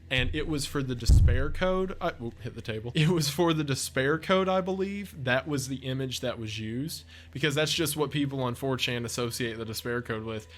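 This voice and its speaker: A man giving casual off-the-cuff video commentary, chatty, effusive and enthusiastic as a video creator. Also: medium pitch. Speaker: video essay creator and narrator.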